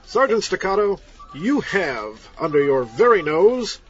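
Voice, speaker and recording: An actor playing a vain, self-assured heroic doctor, pompous and grandly deliberate, in a comedy audio drama. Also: male voice, high-pitched, very animated, muffled recording. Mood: smug, commanding.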